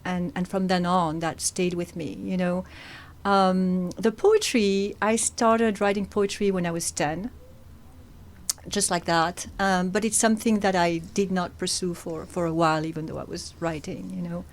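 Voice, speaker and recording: Woman from France as an interview guest. Lower voice, chatty and lively, laughs easily. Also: unhurried. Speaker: guest, poet and actor. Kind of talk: interview answers.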